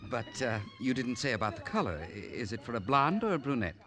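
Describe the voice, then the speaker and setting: A polite shop clerk in a vintage radio western. His voice is medium-pitched, deferential and hesitant. Music is clearly heard behind him. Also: sing-song, brisk, fairly bright tone. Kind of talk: 1950s radio western acting.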